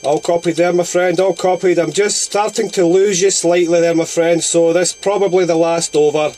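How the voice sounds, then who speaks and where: A Scottish man chatting courteously and haltingly as an amateur radio operator making a long-distance contact. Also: high-pitched, fairly even intonation, darkish tone. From Scotland.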